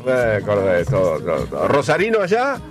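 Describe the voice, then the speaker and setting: A man's voice: on the low side, wildly swooping pitch, fairly quick, music in the background. A man with an Argentine accent hosting a radio show in casual chat, rambling with lots of fillers and repeated words. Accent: Argentine Spanish. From Buenos Aires, Argentina. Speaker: radio host.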